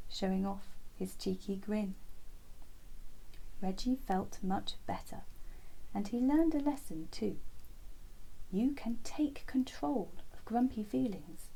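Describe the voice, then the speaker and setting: A woman narrating a children's bedtime story as storyteller, gently and expressively, with soft, breathy phrase endings. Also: mid-range voice, poor audio.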